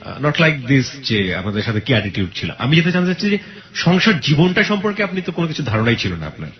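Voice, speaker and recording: Guy hosting a radio call-in, asks lots of questions, murmurs along, lively voice, dull broadcast sound. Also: mid-range voice, fast. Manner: probing, frequent murmured acknowledgments. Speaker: radio show host. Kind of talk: radio call-in advice chat. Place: radio studio.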